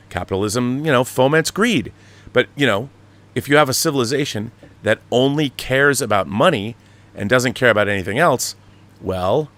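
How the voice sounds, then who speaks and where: A man with a fairly low voice hosts a live talk show. He talks in an opinionated, emphatic way, driving his points home with rhetorical questions. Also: fairly bright tone. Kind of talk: opinionated live commentary and interviewing.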